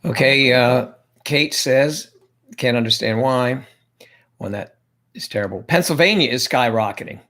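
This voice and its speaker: A man's voice: medium pitch, a little slow, a bit bright. A man hosting a casual livestream, rambling and chatting with his guests and reading viewer comments aloud. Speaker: livestream host.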